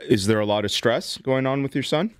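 A man in his thirties, a doctor hosting a radio show, gives measured health advice to callers and often restarts his sentences. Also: medium pitch, clean tone, no echo. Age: 30s. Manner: measured, explanatory, with frequent restarts. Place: radio studio.

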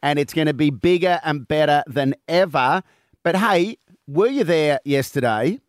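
A man hosts a radio show, chatting in an upbeat, friendly way. His voice sits fairly high. The studio sound is dry and close. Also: unhurried, no echo. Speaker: radio host. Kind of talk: casual radio chat. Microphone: studio microphone.

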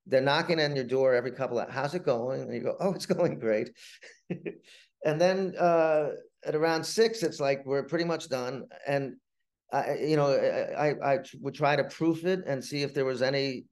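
A man talking casually as an interview guest, telling anecdotes about his writing work in a halting way with stammered restarts. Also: mid-range voice, a little mellow. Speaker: guest, playwright and TV writer.

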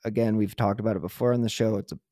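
A man's voice: fairly low pitch, fairly even intonation, rapid-fire, mellow, dry recording. Man podcasting in casual talk, dry close sound. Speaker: true crime podcast host. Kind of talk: casual conversational interview talk.

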